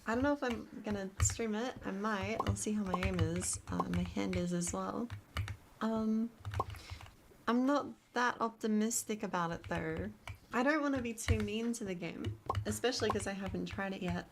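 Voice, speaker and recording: A woman's voice: medium pitch, normal speed, gravelly, noisy background. A woman with an Australian accent, chatting casually as a streamer, laughing often and rambling with fillers. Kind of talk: casual chat.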